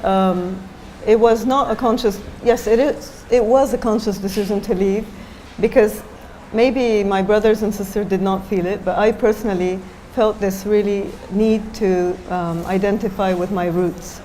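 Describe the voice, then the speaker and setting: A woman's voice: mid-range voice, fairly even intonation, normal speed, mellow, slight background noise, rough recording. A middle-aged woman from Japan, a designer talking as a guest in an onstage interview, thoughtful and hesitant with many fillers.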